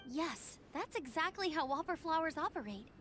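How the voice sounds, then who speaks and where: A woman playing an energetic, earnest young female scout in a fantasy game. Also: high voice, normal speed, slight background noise. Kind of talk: scripted game voice acting.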